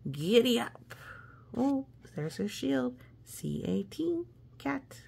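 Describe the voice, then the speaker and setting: A woman's voice: high voice, very animated, slow, vocal fry, warm tone, breathy edge. A woman reading a children's story aloud with playful, exclamatory asides, talking as if to a young child. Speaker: picture book reader.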